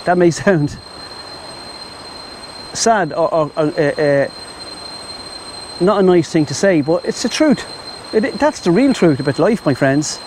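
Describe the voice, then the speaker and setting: A man's voice: fairly high pitch, lively intonation, a little slow, long pauses, a little mellow, slight background noise, rough recording. An Irish man rambling casually to camera as a travel vlogger, outdoors on a lavalier mic. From Ireland. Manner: rambling, chatty, addresses viewers as "my friends". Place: outdoors in Thai countryside.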